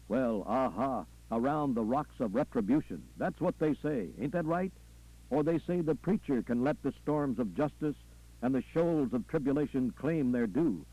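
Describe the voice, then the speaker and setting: A man's voice: medium pitch, warm tone, husky, low-quality recording. A man performing a fervent preacher delivering a rhythmic, folksy call-and-response funeral sermon full of repetition. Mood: challenging, insistent. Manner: folksy, rhythmic repetition with punctuating "aha" and "ah, well".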